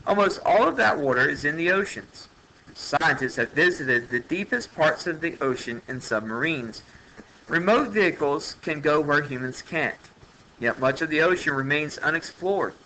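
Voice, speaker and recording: A man's voice: fairly high pitch, animated, normal speed, bright, forceful tone, slight background noise, rough recording. A man reading an educational science text aloud like a teacher, in a plain manner with occasional stumbles. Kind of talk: educational read-aloud narration.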